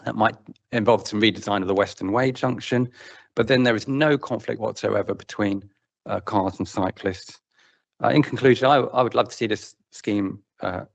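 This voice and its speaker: Gravelly low-voiced man. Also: highs cut off. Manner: measured, with hesitant fillers. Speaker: public speaker at council committee. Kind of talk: prepared statement. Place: council committee room.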